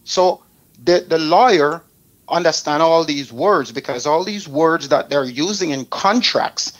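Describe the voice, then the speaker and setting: A man's voice: a little high, measured pace, poor audio. A man with a Jamaican accent hosting a talk show, bluntly and emphatically driving his points home by repeating them. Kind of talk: opinionated radio talk aimed at advising artists.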